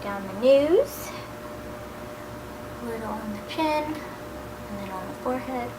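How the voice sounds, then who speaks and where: A woman in her thirties with a cold, a little nasal, giving a chatty makeup tutorial at home in a casual voice that now and then drops to a soft near-whisper. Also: a little high, lively intonation, slow, heavy background noise. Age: 30s. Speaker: beauty vlogger.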